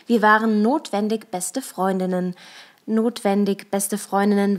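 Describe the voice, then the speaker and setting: A young adult woman reading her own short story as a first-person literary narrator. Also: mid-range voice. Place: improvised desk studio at home.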